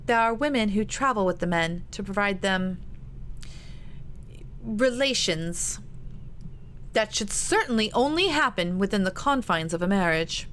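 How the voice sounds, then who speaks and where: A woman voicing a gentle, apologetic young colonel's wife with a genteel, confiding manner. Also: mid-range voice, expressive, unhurried, long pauses. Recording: noticeable background noise.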